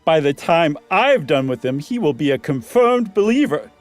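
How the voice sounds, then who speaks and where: A man voicing a smirking, mocking man in a ghost story, with theatrical, drawn-out teasing. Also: on the high side, big pitch swings.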